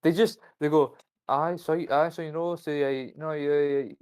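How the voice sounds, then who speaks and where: A man's voice: on the high side, normal speed, smooth. A young man with a Scottish accent, a university student chatting on a live stream, ranting and swearing as he tells stories. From Scotland. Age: young adult. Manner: ranting, profane, animated storytelling. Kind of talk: casual live-stream chat.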